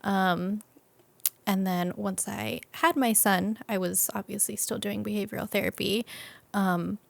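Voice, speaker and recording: A young adult woman with a creaky voice, talking informally as an interview guest and thinking aloud with frequent "like". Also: mid-range voice, fairly even intonation, unhurried, dry recording. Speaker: interview guest, business owner. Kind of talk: casual conversational interview.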